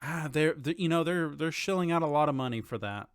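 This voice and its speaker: A man's voice: a little high, expressive, fairly quick, smooth, dry recording, studio quality. A man co-hosting a podcast, chatting casually and bluntly in an informal way. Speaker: podcast co-host. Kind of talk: casual chat about games and shows.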